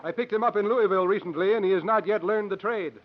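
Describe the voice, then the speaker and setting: A man's voice: high voice, fast, close to an everyday voice. An actor playing a shrewd, burly travelling strongman of thirty, folksy and wry, in 1950s radio drama style. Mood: mock-showy. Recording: dull, noisy old 1950s radio recording.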